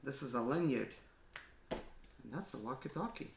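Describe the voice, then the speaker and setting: A man casually unboxing a gadget on his vlog, often exclaiming with excitement. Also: medium pitch, moderate pace, gravelly. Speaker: mobile mechanic YouTuber. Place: indoors at home. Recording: echoey room with faint noise.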